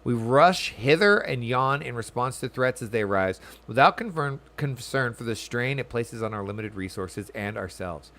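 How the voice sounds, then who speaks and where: A man's voice: medium pitch, expressive, moderate pace, few pauses, a bit bright. Man voicing an earnest, formal young noble boy. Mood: weary, grave. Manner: formal, archaic phrasing. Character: earnest, self-righteous but kindly young noble boy. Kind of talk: reading game dialogue aloud.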